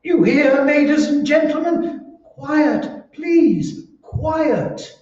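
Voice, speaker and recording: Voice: sits high, animated, very slow, a little mellow, exaggerated voice. A performer playing a flustered, officious, henpecked husband, formal and pleading, in old-time radio comedy style. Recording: noisy echoey room, distant mic, dull sound.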